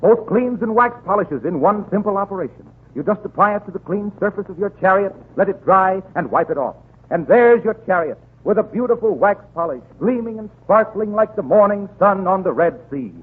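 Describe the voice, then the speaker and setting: A man's voice: sits high, lively intonation, a little fast, breathy edge. A man acting a brash, wooing ancient Roman with declamatory salesman's patter in an old radio comedy. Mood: eager selling. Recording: tinny, noisy 1939 radio recording.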